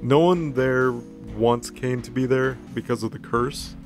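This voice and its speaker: Gravelly man giving a flippant casual recap. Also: medium pitch, unhurried. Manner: flippant, slangy, acts out dialogue offhandedly. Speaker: host recapping a fictional story. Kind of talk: casual comedic plot recap. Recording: music bed under the voice. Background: background music.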